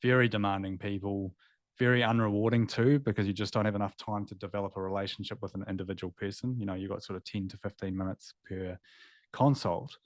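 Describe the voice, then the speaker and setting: A man's voice: on the low side, steady tone, fast talker, no echo. A man in his thirties with a New Zealand accent, a guest telling his story candidly and reflectively in an interview. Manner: candid, reflective, with filler words. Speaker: guest, former veterinarian.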